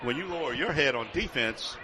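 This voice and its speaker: A man's voice: medium pitch, lively intonation, a little fast, rough recording. A man giving conversational live football analysis as a radio color commentator at a stadium.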